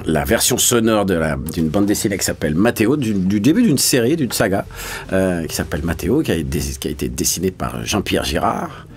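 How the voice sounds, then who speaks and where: A man's voice: sits low, sing-song, fairly quick. A man, a voice actor talking casually in an interview, colloquial and searching for his words. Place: small recording studio.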